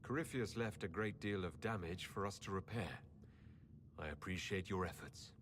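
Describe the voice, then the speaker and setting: A man voicing a steady, courteous heroic leader in a video game, assured and drily humorous. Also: low voice, moderate pace, a little hiss or hum. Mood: steady, sincere. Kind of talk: video game cutscene acting.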